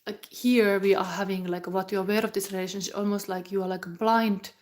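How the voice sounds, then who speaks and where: A woman in her forties, giving a live tarot reading in a halting, musing way with soft whispered asides. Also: medium pitch, steady tone. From Finland. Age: 40s. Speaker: tarot reader.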